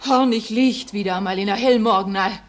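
An actress playing a defiant young weaver's wife in a radio play, sarcastic, with dialect colouring. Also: female voice, mid-range voice, fairly quick, natural voice. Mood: mocking. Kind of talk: German radio play acting.

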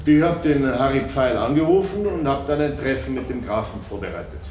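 A man's voice: mid-range voice, lively intonation, brisk, darkish tone. A man giving courtroom testimony, answering questions matter-of-factly. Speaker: witness. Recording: echoey room with faint noise.

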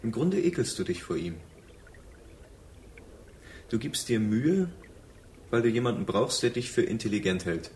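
An actor in a German radio drama playing a bitter former lover who speaks in measured, accusing phrases. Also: male voice, fairly low pitch, lively intonation, darkish tone, a little hiss or hum. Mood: bitter, reproachful. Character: reproachful, bitter former lover, sober and probing.

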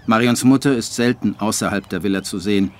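An actor playing a brooding postwar writer who narrates his life in a measured, dry, literary way for a radio drama. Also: fairly low pitch, a little mellow, close to an everyday voice. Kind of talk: scripted radio drama monologue.

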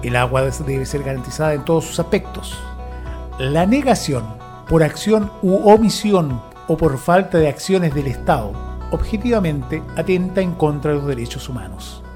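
A man's voice: fairly high pitch, animated, moderate pace, darkish tone. Man narrating over music. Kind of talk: scripted documentary-like narration.

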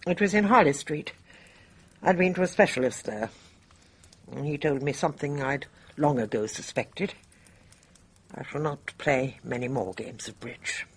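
A woman's voice: sits low, unhurried, long pauses, slightly rough, exaggerated voice. An actress playing a resolute, dignified older Englishwoman in a radio drama, speaking with formal, grave composure. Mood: resigned. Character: dignified older woman, resolute, guilt-burdened bridge player.

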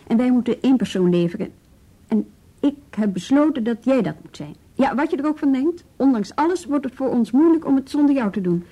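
A composed woman running a police bureau in a radio drama. Her voice is soft-edged and fairly high, and she speaks with measured, tactful firmness. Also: animated. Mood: firm, calm. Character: composed female police bureau chief, tactful but firm. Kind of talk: Dutch radio drama acting.

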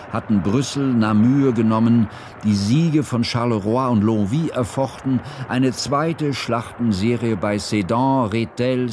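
A man acting in a radio drama as a grave, reflective narrator recalling a war in formal, measured literary language. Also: medium pitch, background noise, natural voice. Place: studio.

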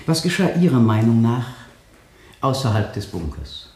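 A man acting a probing prosecutor in a German radio play, putting measured, pointed questions to a witness. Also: mid-range voice, sing-song, a little mellow, natural voice. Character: probing male prosecutor cross-examining a witness. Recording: echoing 1970 radio drama courtroom.

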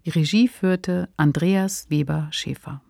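A woman's voice: low-pitched, unhurried, vocal fry, a little mellow, no echo. A woman co-hosting a podcast, speaking in an articulate, explanatory way on a close microphone. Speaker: podcast host. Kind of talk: credits and conversational discussion.

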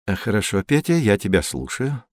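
Kindly adult male mentor for children's audio play: expressive melody, avuncular, measured. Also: on the low side, no room sound, studio quality. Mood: kindly, guiding. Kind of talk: scripted educational audio drama.

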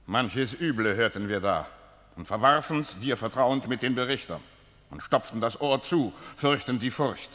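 Male actor as a bright-voiced uneasy elder, reverberant space. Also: mid-range voice, lively intonation, normal speed, natural voice. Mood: reproachful. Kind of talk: classical verse radio drama. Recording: long reverb, set back.

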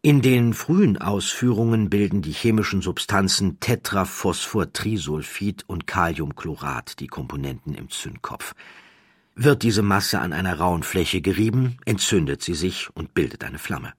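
A man narrates a scripted documentary story in a measured, dramatic way with deliberate pauses. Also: low voice, lively intonation, husky, dry recording, clean studio sound.

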